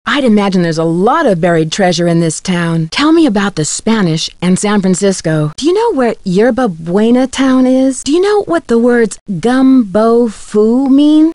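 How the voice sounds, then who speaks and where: Curious, high-voiced young detective played by a woman. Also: mellow, dry recording, natural voice. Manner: inquisitive, articulate, friendly. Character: curious, polite young female amateur detective. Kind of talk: video game voice acting.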